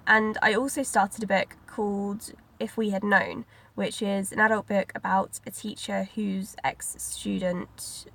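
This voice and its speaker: Woman vlogger, stuffy head cold, a bit of vocal fry, chatty and rambling. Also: medium pitch. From Britain. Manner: rambling, many likes and ums. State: congested from a cold, sniffly. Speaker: book vlogger. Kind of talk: casual chatty vlog.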